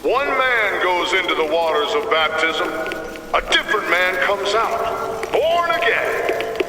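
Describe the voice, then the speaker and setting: An actor playing a zealous, menacing older prophet in a video game, preaching in pompous, theatrical oratory with dramatic pauses. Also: male voice, sits high, sing-song, sharp tone, reverberant, big-room sound, far from the mic, a little hiss or hum, exaggerated voice. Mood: reverent, solemn. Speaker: main antagonist.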